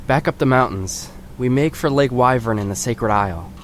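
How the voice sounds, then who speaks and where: An actor playing an earnest, assured fantasy guide who explains things in a solemn, formal way in an audio drama. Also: male voice, mid-range voice, normal speed, a little hiss or hum, close to an everyday voice. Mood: grave, firm.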